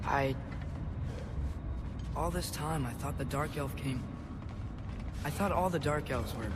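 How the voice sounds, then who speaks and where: A man's voice: on the high side, slow pace, heavy background noise. A male actor playing an earnest, curious teenage boy in a video game, often hesitant and searching. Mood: troubled. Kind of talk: video game character acting. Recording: very loud music behind the voice.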